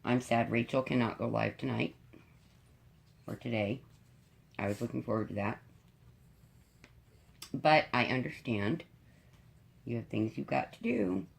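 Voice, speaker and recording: A middle-aged woman with a cold, sounding raspy, deep and congested, chatting casually to her viewers from home. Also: measured pace, pauses often, slight background noise. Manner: chatty, rambling, frank. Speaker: diamond painting YouTuber.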